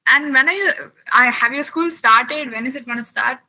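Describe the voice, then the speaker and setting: Bright-voiced woman instructor. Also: high voice, poor audio. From Chennai, India. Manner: chatty, asks the children many quick questions. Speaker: science camp instructor. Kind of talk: casual lab tour and chat with children over a video call.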